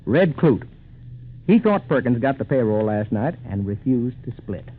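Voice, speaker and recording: Man acting a sharp young frontier sleuth, phone-like old radio. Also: mid-range voice, very animated, normal speed, slight background noise, lightly acted. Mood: earnest explaining. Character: clear-headed, modest young man solving a frontier mail-robbery mystery. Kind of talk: 1940s radio drama acting. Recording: muffled narrowband 1940s radio recording.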